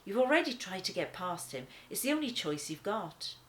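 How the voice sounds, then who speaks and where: A woman's voice: medium pitch, big pitch swings, moderate pace, a bit bright, a little hiss or hum. A woman narrating a children's storybook as a thoughtful, curious lion, wry and questioning.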